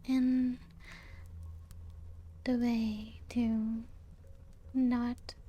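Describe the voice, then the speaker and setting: A woman playing a genteel, serene, playful ancient vampire in a soft-spoken ASMR roleplay, with a breathy, often half-whispered voice and gentle lilt. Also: a little high, level tone, muffled recording. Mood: wistful, enchanted. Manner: slow, unhurried, gently lilting storytelling. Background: music.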